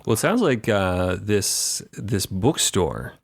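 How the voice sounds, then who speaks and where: A man playing a tabletop game, offering ideas in a measured, thoughtful way in casual chat. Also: lowish voice, big pitch swings, creaky, slightly rough, no echo.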